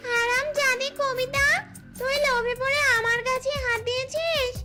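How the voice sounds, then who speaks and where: A menacing fairy-tale witch, with a very high, unnatural voice. She threatens and taunts furiously in drawn-out words, with music behind her. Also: steady tone, sharp tone. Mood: furious threats. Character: furious menacing witch guarding a magic tree. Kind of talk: cartoon fairy-tale acting.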